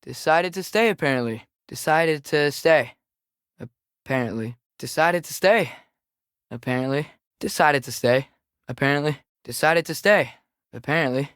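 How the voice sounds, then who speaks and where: A man's voice: on the high side, animated, moderate pace, fairly bright tone, clear, resonant tone, dry recording, clean studio sound. A young man with an American accent voicing a restless, casual teenage boy adventurer in a video game, with a hesitant, understated delivery. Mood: resigned. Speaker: game character voice actor. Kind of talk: video game companion voice lines.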